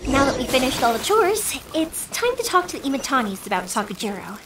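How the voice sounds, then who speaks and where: A woman's voice: high-pitched, big pitch swings, fairly quick, noisy background. A woman playing a cheerful, helpful young fireworks maker in a video game, with an upbeat, reassuring manner. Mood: friendly. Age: young adult. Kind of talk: scripted video game dialogue.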